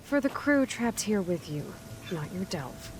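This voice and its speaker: An actress playing a terse, capable young huntress in a video game, speaking in a level, matter-of-fact way. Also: female voice, medium pitch, big pitch swings, a little slow, slight background noise. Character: terse capable female hunter, young adult. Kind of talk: video game cutscene acting.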